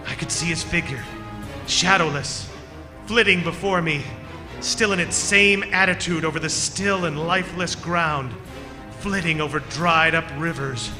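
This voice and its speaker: Man acting a haunted driver, high-pitched, echoing. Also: unhurried, lightly acted. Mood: haunted fear. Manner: dramatic, fearful, urgent. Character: haunted, desperate male driver telling his eerie story. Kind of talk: old-time radio drama acting. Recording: long hall reverb, music behind.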